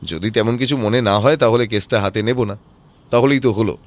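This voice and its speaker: An actor playing a composed, analytical private detective in an audio drama, reasoning aloud in a measured way. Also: male voice, lowish voice, close to an everyday voice.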